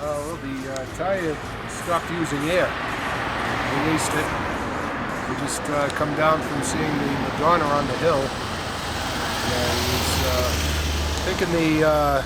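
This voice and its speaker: A man riding on a motorcycle tour, telling a story to the camera in a halting way with many fillers. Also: on the high side. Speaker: rider on the tour. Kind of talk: casual chat to camera.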